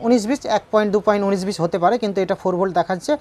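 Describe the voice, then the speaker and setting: A woman's voice: medium pitch, rapid-fire, a little mellow. A woman giving an informal hands-on repair tutorial, repeating points and often checking in with viewers. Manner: repetitive, checking in with viewers with tag questions.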